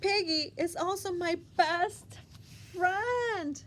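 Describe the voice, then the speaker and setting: Woman playing an excitable high-voiced storybook elephant. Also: extremely slow, exaggerated voice. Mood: distressed. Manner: emphatic, short exclamations. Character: excitable, dramatic, sneezy male cartoon elephant.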